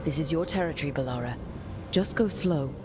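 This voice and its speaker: An actress playing a resolute fantasy team leader in a video game, decisive and commanding. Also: female voice, sits low, fairly quick, noisy background, lightly acted. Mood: steady resolve. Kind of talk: video game drama acting.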